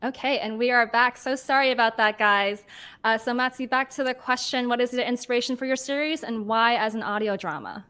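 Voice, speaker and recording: A woman's voice: fairly high pitch, a little fast, sharp tone, smooth. A woman moderating a panel discussion, introducing guests and putting questions to them.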